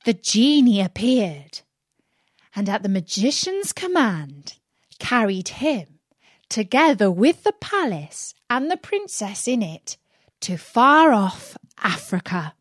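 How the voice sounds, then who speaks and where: Slow, deliberate woman storyteller. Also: fairly high pitch, sing-song, dry recording, studio quality. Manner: deliberate, carefully phrased. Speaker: audiobook narrator. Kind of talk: scripted fairy-tale narration.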